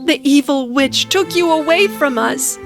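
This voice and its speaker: A woman voicing a loving mother in an animated fairy tale, emotional and exclaiming over her lost daughter. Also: sits high, a little slow, music in the background, pitched above the performer's own voice. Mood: overjoyed.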